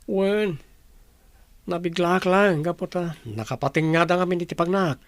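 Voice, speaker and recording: A man's voice: high voice, normal speed, dry recording, lightly acted. An actor with an Ilocano accent playing a complacent man in a radio drama. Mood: apologetic. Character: complacent man living off his child's money.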